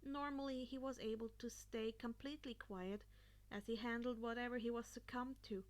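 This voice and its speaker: A woman reading a story aloud as a podfic narrator, occasionally stumbling and repeating a phrase. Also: a little high.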